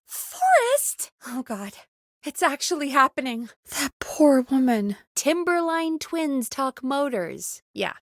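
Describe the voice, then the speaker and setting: High-voiced, lively small-town radio producer. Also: female voice, dry recording, clean studio sound, full character voice. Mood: distressed, then gossipy. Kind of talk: video game voice acting, conversational.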